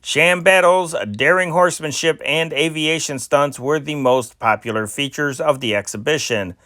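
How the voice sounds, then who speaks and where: A man's voice: on the high side, moderate pace, no echo. Man reading old newspaper stories, dry sound. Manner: steady reading with occasional restarts. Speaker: amateur news reader and channel host.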